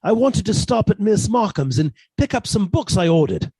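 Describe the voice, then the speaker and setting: An actor playing a meek, henpecked professor, mild and deferential, in a suspense radio play. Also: male voice, high-pitched, sing-song, moderate pace, mellow, breathy edge, no echo, heavily acted. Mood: meek, resigned. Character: meek, henpecked British professor husband, quietly fussy.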